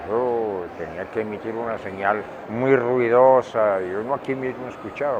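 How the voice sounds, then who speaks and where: An older man with a Colombian accent answering interview questions in a deliberate, emphatic way, often repeating words for weight. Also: lowish voice. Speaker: interviewee, veteran radio director and journalist. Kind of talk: reflective interview talk. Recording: dull lo-fi, clear outdoor traffic noise.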